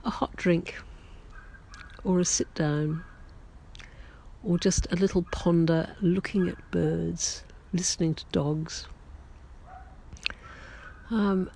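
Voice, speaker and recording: A writer keeping a daily audio diary, talking to listeners in a gentle, halting way with many pauses and self-corrections, a little hoarse after losing their voice that morning. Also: medium pitch, fairly even intonation. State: recovering from a lost voice, slightly hoarse. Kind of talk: slow reflective personal chat to listeners.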